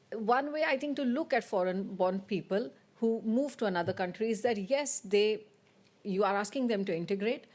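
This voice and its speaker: A woman on a seminar panel speaks in a measured, reflective way. She moved to Finland from abroad and thinks aloud as she makes her point. Her voice sits at an ordinary middle pitch. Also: a little mellow. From abroad, living in Finland. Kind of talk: panel discussion remarks.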